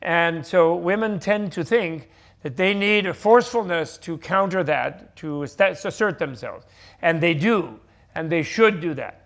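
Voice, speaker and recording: A man's voice: a little high, measured pace, fairly bright tone. An older man giving a conversational teaching talk on stage, rambling animatedly through explanations and correcting himself mid-sentence. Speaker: panel speaker on Buddhist teachings. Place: live event stage with audience.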